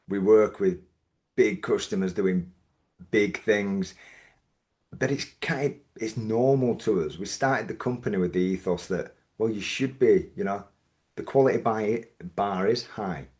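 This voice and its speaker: Yorkshire man, middle-aged, deep voice, measured reflective guest. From Yorkshire, England. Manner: thoughtful, measured, with frequent restarts. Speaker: business owner guest. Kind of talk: reflective podcast interview.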